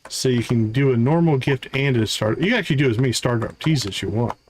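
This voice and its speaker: A man's voice: mid-range voice, animated, fast talker. Casual male game streamer with background noise. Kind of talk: casual livestream gameplay commentary, reading game dialogue aloud.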